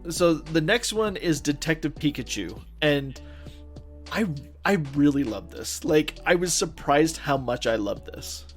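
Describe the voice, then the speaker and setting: A man's voice: on the high side, animated, unhurried, soft background music. A young man co-hosting a casual podcast, talking with enthusiasm and saying "like" a lot. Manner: enthusiastic, peppered with "like". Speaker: podcast co-host. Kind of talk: casual chat.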